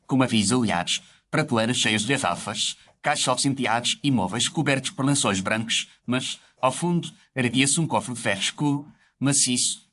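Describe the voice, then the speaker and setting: A man's voice: medium pitch, a bit bright, a little hiss or hum. A man narrates a scripted audio story. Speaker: narrator telling the story as a doctor character.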